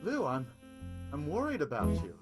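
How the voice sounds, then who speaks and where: A man's voice: fairly high pitch, huge pitch swings, normal speed, music in the background. A man voicing an eager, easily worried male stage hypnotist in an improvised radio play, stammering when uneasy.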